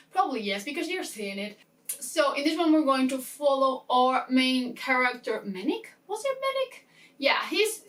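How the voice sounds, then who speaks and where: A woman talking casually to camera as a book vlogger, chatty and excitable, often interrupting herself with asides. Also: sits high, recorded from a distance. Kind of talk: casual chatty reading-plan talk to camera.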